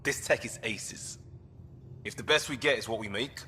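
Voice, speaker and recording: A man's voice: normal speed, pushed and bright, soft background music, close to an everyday voice. An actor playing an upbeat, easygoing, tech-loving crewman in a video game, with a casual, breezy delivery. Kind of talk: video game cutscene acting. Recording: long reverb, faint rumbling noise.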